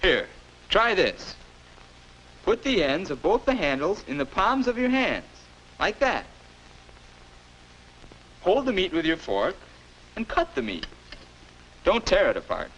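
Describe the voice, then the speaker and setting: A man's voice: high voice, very animated, slow, pauses often, fairly bright tone, full character voice. An American actor in his twenties playing a patient, encouraging older self instructing a boy in 1950s educational film style. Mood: instructive. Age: 20s. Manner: instructive, encouraging, neatly spoken. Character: patient, upbeat 21-year-old older self mentoring a boy. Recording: noisy old 1950s film soundtrack.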